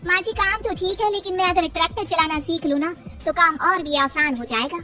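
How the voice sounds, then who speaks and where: A woman playing a bright, determined young daughter-in-law. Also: extremely high pitch, fairly quick, distant mic, faint music behind. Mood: earnest. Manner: respectful, earnest.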